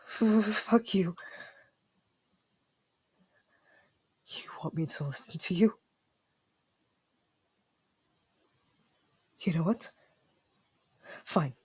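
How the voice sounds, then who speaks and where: Guy acting as a haughty young fantasy prince, breathy voice, formal n bossy, gets flustered easy, asmr roleplay. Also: sits high, measured pace, darkish tone, full character voice. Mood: defiant, hushed. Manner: formal, imperious, accusatory.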